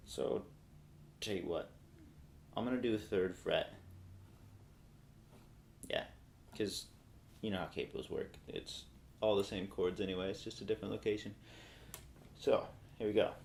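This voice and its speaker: A young man in his twenties casually teaching a song on guitar, rambling and thinking aloud as he goes, seated in a small bright room and recorded by a camera a few feet away. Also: fairly low pitch, unhurried, gravelly, pauses often, a little hiss or hum. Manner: relaxed, rambling, thinks aloud mid-sentence. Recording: echoey room with acoustic guitar.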